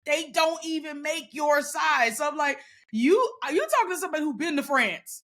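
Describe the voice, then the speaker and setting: A woman talking casually as a guest, loud and emphatic with a sassy edge. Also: high-pitched, moderate pace. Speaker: podcast guest. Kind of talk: casual conversation.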